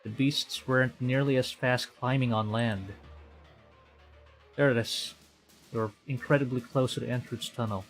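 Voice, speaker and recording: A man's voice: mid-range voice, steady tone, moderate pace, long pauses. A man from the Philippines streaming a visual novel, reading the story aloud and muttering his own reactions in between. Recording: music behind the voice. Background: game music.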